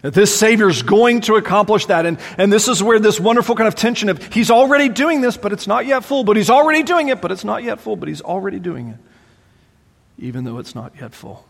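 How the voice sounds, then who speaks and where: A man preaching a sermon as pastor, animated and exclaiming, voicing his listeners' doubts aloud, in a large church sanctuary. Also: high-pitched, fairly quick, gravelly. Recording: long hall reverb.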